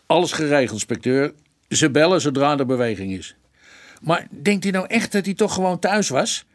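An actor playing an eager, cheeky police sergeant in a radio drama, excitable and informal. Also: male voice, on the high side, moderate pace, natural voice. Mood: deflated, puzzled.

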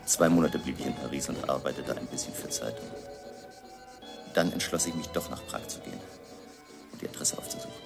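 A weary political exile in a radio play, confiding his story gravely. The voice is extremely low and full of creak, with music clearly underneath. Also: brisk, long pauses, exaggerated voice. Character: weary exiled newspaper editor turned underground communist courier. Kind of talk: radio drama monologue.

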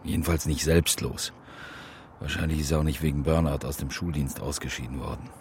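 A man's voice: extremely low pitch, steady tone, fairly quick, darkish tone, slight background noise. A man acting a weary, brooding police investigator in a radio crime drama, talking in terse phrases.

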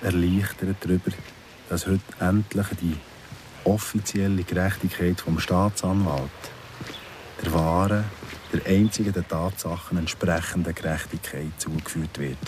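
A man's voice: deep voice, fairly even intonation, measured pace, darkish tone, slight background noise. An actor playing a bitter paroled convict in his thirties in a Swiss German radio drama, speaking with sardonic, brooding deliberation. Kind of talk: first-person radio drama monologue.

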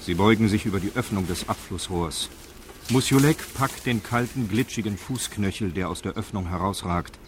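Male narrator for crime radio drama: fairly low, sober, factual scripted delivery. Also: normal speed, slight background noise. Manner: sober, reportorial.

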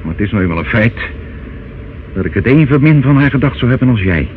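An actor in a Dutch radio play, playing a self-satisfied, menacing older uncle who wheedles and talks down in a hesitant, smooth way. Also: male voice, mid-range voice, lively intonation, fast, close to an everyday voice. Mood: insinuating. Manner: wheedling, hesitant, condescending, calls listener child. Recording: dull, noisy old radio recording.

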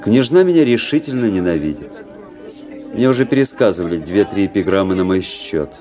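An actor playing a cynical, world-weary young aristocratic officer in a radio play, narrating in a measured, ironic literary manner. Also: male voice, low voice, animated. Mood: smug, ironic. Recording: radio play with faint music behind.

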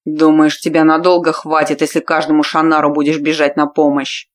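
Brief: woman putting on a brutal male warlord in an audiobook, slow, loud, growling menace. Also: deep voice, flat delivery. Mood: menacing.

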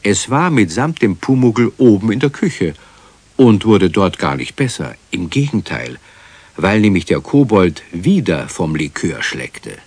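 A man narrating a children's radio play as a calm, wry storyteller in a scripted delivery. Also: sits low, measured pace, no room sound, full character voice. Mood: wry, storytelling.

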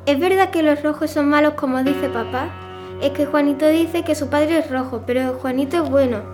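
A woman playing an innocent, high-voiced small child. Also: normal speed, music in the background, lightly acted. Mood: innocent, curious. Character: innocent, curious small child asking questions. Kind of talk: audio drama acting.

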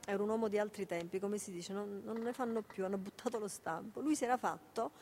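A woman's voice: medium pitch, fast talker, a little mellow, slight background noise, natural voice. A woman with a Neapolitan accent playing a poor, proud, anxious mother in a naturalistic radio drama, agitated and repeating herself in colloquial speech. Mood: nostalgic. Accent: southern Italian, Neapolitan.

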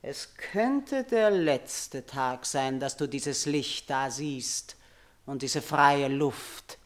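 An actress playing a middle-aged, tormented and menacing queen in a radio tragedy, with imperious, drawn-out theatrical declamation. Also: rumbling low, animated, heavily acted. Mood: commanding, menacing.

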